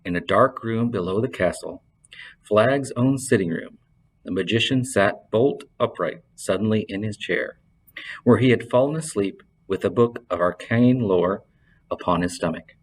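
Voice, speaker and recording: Low-pitched man reading a story. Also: moderate pace, husky. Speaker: library reader and presenter.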